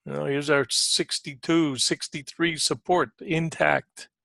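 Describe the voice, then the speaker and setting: Male trading coach, slightly high-pitched with a creaky edge, talking informally and often clearing his throat. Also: fairly even intonation, a little slow, fairly bright tone, no room sound, studio quality. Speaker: trading coach giving market commentary. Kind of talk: informal live market commentary.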